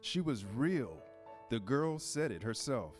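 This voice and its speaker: Guy narrating a kids storybook, medium pitch, measured, bit of music in back. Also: sing-song.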